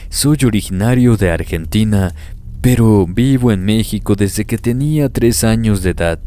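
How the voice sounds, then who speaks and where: A man narrating first-person horror stories in a grave, steady, scripted delivery. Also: deep voice. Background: faint background music.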